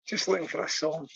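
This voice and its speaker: A man's voice: sharp tone, rough recording. A man hosting his own channel, chatting and teasing viewers in a rambling, jokey way between a cappella songs. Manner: jokey, rambling, teasing banter with many restarts.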